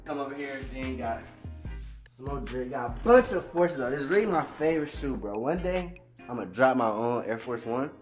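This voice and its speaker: A man's voice: mid-range voice, expressive, normal speed, recorded from a distance. A man in his twenties with an American accent, giving a casual, slangy vlog tour and often repeating phrases for emphasis. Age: 20s. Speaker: vlogger giving an apartment tour. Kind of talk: casual vlog chat to viewers. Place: small empty apartment indoors. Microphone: handheld camera a few steps away. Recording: echoey empty room, off-mic, music behind.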